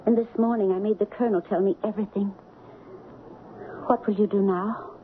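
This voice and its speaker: An actress playing a devoted, anxious young princess in a radio melodrama, with intense, formal, pleading delivery. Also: female voice, medium pitch, steady tone, fairly quick, a little hiss or hum, close to an everyday voice. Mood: pleading, loving. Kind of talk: melodramatic radio drama acting. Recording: muffled vintage radio broadcast, music behind.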